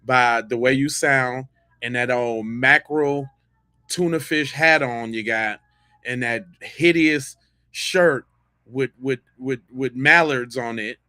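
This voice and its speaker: A man's voice: medium pitch, slow pace, sharp tone, clear, resonant tone, no echo. A man hosting a podcast with slangy, mocking, unfiltered live reaction commentary. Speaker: podcast host.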